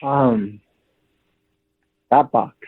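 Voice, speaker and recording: A man talking casually on the telephone, as someone called by a radio show. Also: drawn-out words. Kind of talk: casual phone conversation. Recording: narrow tinny phone line.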